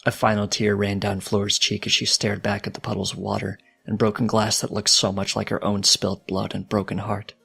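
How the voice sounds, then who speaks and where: A man reading fiction aloud as a dramatic, solemn narrator with a deliberate delivery. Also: on the low side, monotone, creaky, a bit bright, husky, faint music behind. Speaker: fanfiction narrator.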